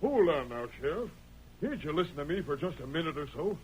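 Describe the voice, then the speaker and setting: A man's voice: on the high side, big pitch swings, brisk, a little mellow, slight background noise. An actor playing an indignant, wrongly accused American frontiersman in an old-time radio western, protesting in rustic slang. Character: indignant framed frontier depositor protesting innocence. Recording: muffled vintage radio recording.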